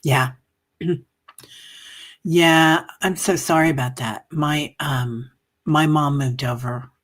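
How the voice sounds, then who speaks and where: A woman hosting a livestream, chatting casually and rambling with many fillers, reading out viewer comments, coughing and a bit hoarse. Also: very deep voice. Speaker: livestream meditation host. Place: home livestream.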